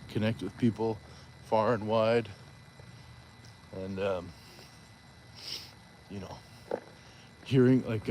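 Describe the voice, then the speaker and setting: A man's voice: on the low side, a little mellow, slight background noise, rough recording. A man hosting a live stream while walking outdoors, chatting casually and reading viewer comments aloud with playful asides. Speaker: livestream host.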